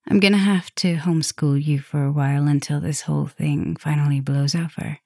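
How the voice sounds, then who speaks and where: Low-voiced, intimate vampire mistress, acted by a woman. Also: fairly even intonation, fairly quick, dark tone, no room sound, clean studio sound. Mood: serious, protective. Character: caring, softly dominant female vampire professor, protective mistress. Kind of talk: ASMR roleplay, speaking intimately to the listener.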